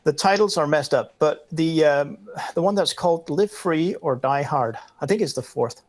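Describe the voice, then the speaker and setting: A man's voice: a little high, moderate pace. A man from Denmark, chatting casually on a podcast panel, rambling and swearing offhandedly. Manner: rambling, looks things up aloud, swears casually. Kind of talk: casual chat.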